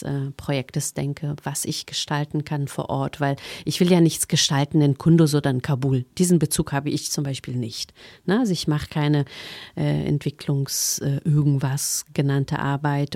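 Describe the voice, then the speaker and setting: A woman's voice: low voice, darkish tone, dry recording, studio quality. A woman from Afghanistan speaking as a workshop speaker in a radio discussion, thoughtful and hesitant, with many fillers.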